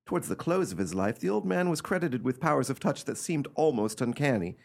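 Man acting animated, husky gentleman storyteller. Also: medium pitch, fairly quick. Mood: reflective, admiring. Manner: theatrical, storytelling, emphatic. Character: eccentric gentleman nephew recounting uncanny family tale. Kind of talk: radio drama acting.

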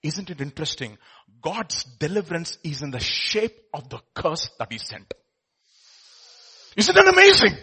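A man preaching a sermon, with emphatic swings from quiet lines to loud exclamations. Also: a little high, wildly swooping pitch, normal speed, husky. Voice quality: says he has a loud voice.

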